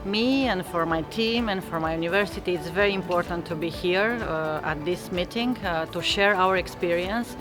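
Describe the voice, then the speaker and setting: A woman from Romania, a university vice rector, speaking hesitantly with many pauses in an event interview. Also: lowish voice. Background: background music.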